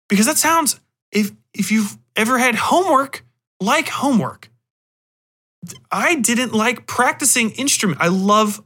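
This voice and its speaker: Bright, higher-voiced man rambling on a podcast. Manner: animated, emphatic, freely profane. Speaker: podcast host and fiction writer. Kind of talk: rambling casual podcast talk.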